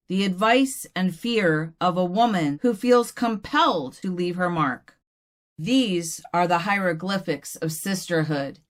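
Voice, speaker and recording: A woman plays an earnest doll poet at a reading. She recites very slowly in a lowish voice, deliberate and measured with each line. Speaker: poet reading own work. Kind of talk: poetry recitation.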